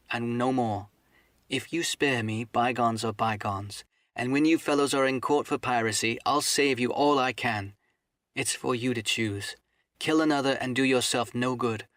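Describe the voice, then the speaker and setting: A man's voice: medium pitch, fairly bright tone, dry recording, clean studio sound, close to an everyday voice. A man voicing a bold, defiant boy adventurer with earnest, declamatory speech in an audiobook reading.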